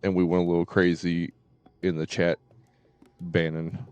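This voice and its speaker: A man live streaming and chatting casually to viewers, halting and often repeating his phrases. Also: low-pitched, monotone, darkish tone, clean tone, no echo, dull audio.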